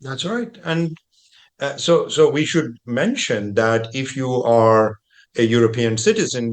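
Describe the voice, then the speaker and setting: A middle-aged man hosting a travel podcast, talking in a measured, explanatory, conversational way. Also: mid-range voice. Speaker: podcast host.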